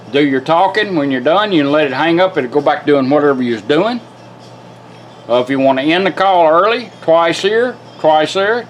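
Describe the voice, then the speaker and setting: Higher-pitched man giving a folksy demo. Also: a little hiss or hum. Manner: folksy, informal step-by-step instruction. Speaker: product demonstrator, radio builder. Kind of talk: casual hands-on product demonstration.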